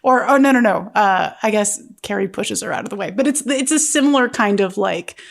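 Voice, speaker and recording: A woman talking casually as a panelist in a script discussion, tentative and halting as she lays out her thoughts. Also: mid-range voice, creaky.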